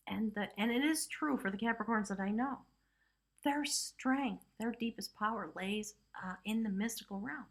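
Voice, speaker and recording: A woman's voice: fairly high pitch, moderate pace. A woman giving a casual spiritual tarot reading, talking chattily with lots of "you know" and "right?" asides. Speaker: tarot reader.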